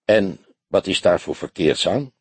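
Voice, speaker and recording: A man's voice: low-pitched, lively intonation, unhurried, breathy edge, dry recording, heavily acted. A man voicing a grizzled older detective in an audiobook, dry and stubborn, with a laconic, mocking manner. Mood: cool, stubborn. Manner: laconic, sardonic. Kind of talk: audiobook character voice.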